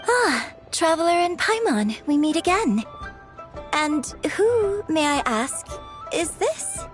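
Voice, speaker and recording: A woman's voice: very high voice, big pitch swings, slow, soft background music, heavily acted. A woman playing a gracious, composed young female performer in a video game, polite and patient as she explains.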